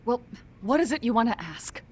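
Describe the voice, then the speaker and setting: Slightly husky, guarded woman. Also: fairly high pitch, expressive, normal speed. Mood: polite, defensive. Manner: restrained, defensive politeness. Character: guarded mother, polite but prickly. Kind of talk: English-dubbed video game cutscene acting.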